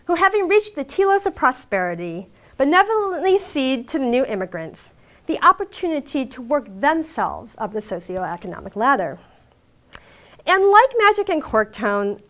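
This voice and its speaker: A woman reading a prepared academic lecture on literature, measured and emphatic with the odd filler. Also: on the high side, fairly bright tone. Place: lecture hall.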